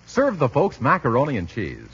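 Man, 1940s radio pitchman, emphatic and polished, husky edge, muffled recording. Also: huge pitch swings, normal speed, a bit bright. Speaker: radio announcer.

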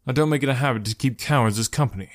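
A man's voice: medium pitch, lively intonation, quick pace, a little mellow, lightly acted. A man reads a confident male archer in a fantasy audiobook. He speaks in a commanding, curt way with a dry, scornful edge.

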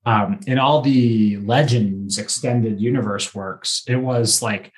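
A man co-hosting a casual fan podcast, telling things in an animated way with mock-quoted dialogue. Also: lowish voice, slow pace. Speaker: podcast co-host.

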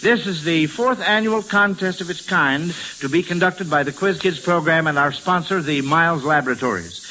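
A man's voice: sits high, bright, forceful tone, rough recording. An American man hosting a 1940s radio quiz show with folksy, schoolmasterly patter on a hissy old broadcast recording.

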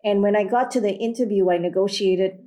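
A woman's voice: mid-range voice, brisk, warm tone. A middle-aged woman, a guest candidly telling stories about her career in an interview. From Malaysia. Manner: candid, anecdotal, frequent fillers. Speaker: guest, sales executive. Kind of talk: storytelling in an interview.

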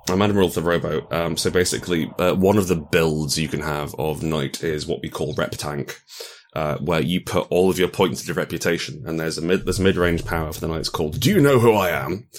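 A man's voice: a bass voice, fairly quick. A man chatting casually as a guest game designer, often restarting his phrases as he thinks aloud. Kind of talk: casual chat about role-playing games.

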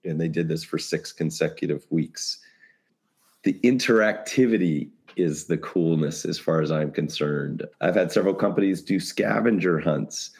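A man's voice: low voice, moderate pace, creaky, darkish tone. A man talking casually as a podcast guest, brainstorming ideas in a rambling way with jokey asides. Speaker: podcast guest, creative compliance consultant.

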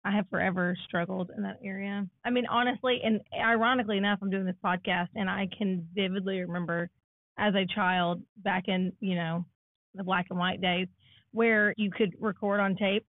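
A woman in her thirties with a naturally raspy voice, co-hosting a casual podcast chat. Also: medium pitch, fairly even intonation, moderate pace, dry recording. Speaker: podcast co-host. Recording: dry, phone-like lo-fi sound.

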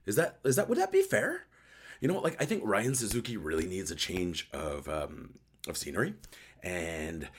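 A man with a hoarse voice hosting a casual live sports chat show, joking and thinking aloud as he answers viewers. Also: lowish voice. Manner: joking, conversational, thinking aloud with repeated words. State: hoarse voice after a night outdoors. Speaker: host of a hockey post-game recap show.

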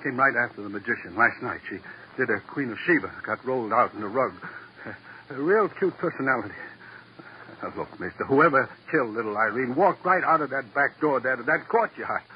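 A man's voice: mid-range voice, expressive, a little fast, natural voice. An actor playing a frightened, defensive salesman under police questioning in a vintage radio crime drama, agitated and stammering his protests. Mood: uneasy, explaining. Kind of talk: 1940s-50s radio crime drama acting. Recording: noisy, muffled old radio broadcast.

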